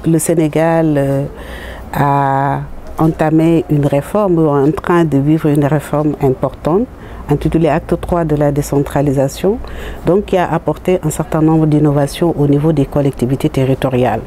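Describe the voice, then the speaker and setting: A middle-aged woman with a Senegalese accent explains her work as an author in a halting, word-searching way. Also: low-pitched, fairly even intonation, warm tone. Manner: hesitant, halting, searching for words. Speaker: author and jurist presenting own book. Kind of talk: explanatory promotional interview. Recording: noticeable background noise.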